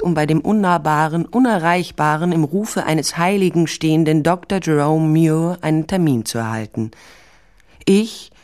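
A woman's voice: deep voice, darkish tone, lightly acted. Actress as blunt lady detective telling her case, dry and sardonic, radio crime play. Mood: wry. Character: wry, blunt female private investigator narrating her case. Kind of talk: first-person crime radio drama narration.

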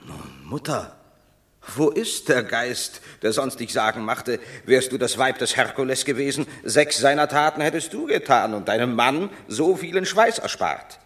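An actor playing a proud, banished Roman war hero who declaims verse in a commanding, bitter manner in a radio play. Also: male voice, mid-range voice, big pitch swings, moderate pace, fairly bright tone. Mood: consoling, defiant. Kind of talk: German radio drama, stage verse.

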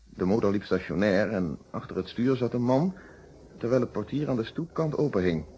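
An actor playing a steady, reflective police inspector recounting his case in a Dutch radio drama, with a Netherlands accent and measured, polite delivery. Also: on the low side, muffled recording, a little hiss or hum, natural voice. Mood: reflective, explanatory. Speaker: actor in a detective radio play.

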